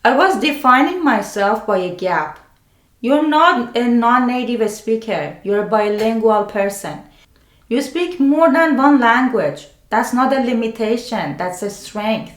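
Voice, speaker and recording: A woman with a non-native English accent gives scripted self-help advice as a video host, speaking deliberately in short phrases with pauses. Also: a little high, lively intonation. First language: a language other than English. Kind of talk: scripted personal explainer to camera. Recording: roomy, echoing sound.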